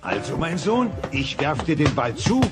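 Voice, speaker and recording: High-voiced bumbling dinosaur dad over music. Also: male voice, very animated, normal speed. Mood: good-natured, clumsy. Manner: placating, flustered, repeats phrases. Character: bumbling, hapless middle-aged dinosaur dad. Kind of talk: German audio drama acting.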